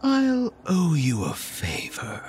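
A slow, swooping, uncanny calm man. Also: on the high side, soft background music, heavily acted. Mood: quiet probing. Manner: slow, measured, softly taunting, dropping toward a whisper. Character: calm inhuman man in hoodie, mildly amused, quietly menacing. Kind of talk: horror audio drama dialogue.

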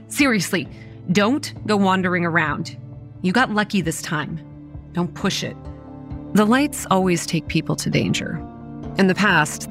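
A woman's voice: fairly low pitch, natural voice. A young woman acting a wry, weary campground manager narrating a horror audio drama in a dry, exasperated way. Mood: wary, stern. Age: young adult. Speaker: narrator and lead character.

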